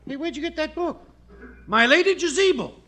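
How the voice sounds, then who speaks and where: A man playing an excitable, leering, wisecracking neighbor in a 1950s radio comedy, with an eager, slangy delivery. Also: very high voice, brisk. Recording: noisy vintage radio recording.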